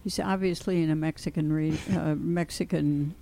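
A woman reading out movie quotes for a radio quiz, slow and deliberate. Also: deep voice, fairly even intonation, gravelly, warm tone. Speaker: quiz reader.

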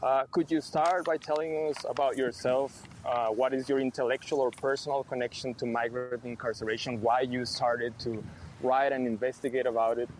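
A man from Mexico presents an unscripted radio conversation from outdoors. His pitch is average and he often restarts phrases while searching for words. Light street noise sits behind him. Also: steady tone, moderate pace, poor audio. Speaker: visual artist hosting a radio conversation. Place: outdoors, outside a detention center. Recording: faint outdoor traffic noise.

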